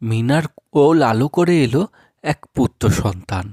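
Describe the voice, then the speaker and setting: A man's voice: mid-range voice, animated, moderate pace, a little mellow, slight background noise. A man narrating a cartoon folk tale as a scripted storyteller.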